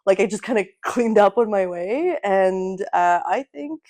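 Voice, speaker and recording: A woman in her thirties, a native Russian speaker, co-hosting a casual podcast from her apartment couch, animated and quick to laugh. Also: medium pitch. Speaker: podcast co-host. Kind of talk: casual chat. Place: living room couch in an apartment.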